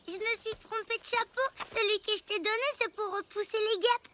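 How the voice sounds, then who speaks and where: A woman voicing a cheerful, cheeky young child in a French animated series, with a playful, exclaiming delivery. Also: extremely high pitch, normal speed. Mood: smug, amused. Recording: music behind the voice.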